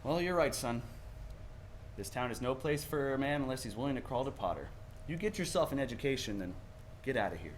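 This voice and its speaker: A man's voice: medium pitch, animated, a little fast, a little hiss or hum, lightly acted. Weary, kindly older father played by a man. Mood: resigned, fond. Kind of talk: 1940s radio play acting.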